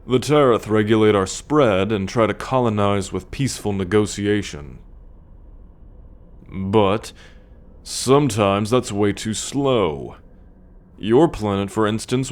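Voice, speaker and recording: A cocky alien scout played by a man speaks straight to the listener in an ASMR roleplay. His voice sits fairly low, and he takes his time with a very slow, teasing drawl. He comes across as a self-assured warrior.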